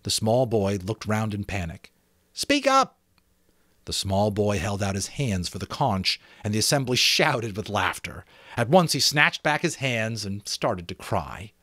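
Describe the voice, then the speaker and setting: A man's voice: lowish voice, unhurried, a bit bright, no room sound, studio quality. A man narrating a novel expressively as an amateur reader, with dramatic storytelling and occasional casual asides.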